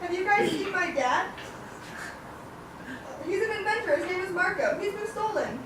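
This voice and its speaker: A woman's voice: very high voice, lively intonation, normal speed. A young woman playing a plucky, determined girl adventurer in a live puppet show, earnest and exclamatory. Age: young adult. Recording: echoey hall, distant mic, noisy.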